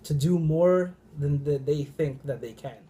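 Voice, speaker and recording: A young man answering interview questions earnestly, with halting restarts. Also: on the high side, mellow, a little hiss or hum.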